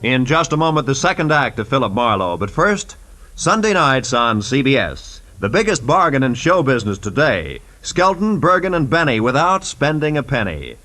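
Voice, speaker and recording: A man's voice: medium pitch, sing-song, moderate pace, pushed and bright, slight background noise, poor audio. A man with an American accent announcing on 1940s radio in a polished, emphatic promotional style.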